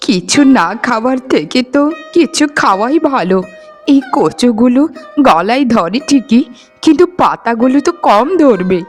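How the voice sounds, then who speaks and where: A woman's voice: a little high, expressive, normal speed, lightly acted. A woman voicing a poor, humble, long-suffering old mother in a cartoon, plaintive in manner. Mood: sorrowful. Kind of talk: cartoon voice acting. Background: faint music.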